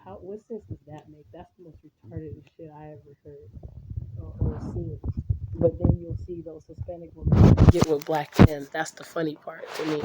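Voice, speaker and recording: Slightly raspy woman with a flat delivery. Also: low-pitched, moderate pace, very noisy. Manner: rambling, with restarts and asides; blunt and outspoken. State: hoarse from a cold. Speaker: vlogger. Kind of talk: casual monologue to viewers.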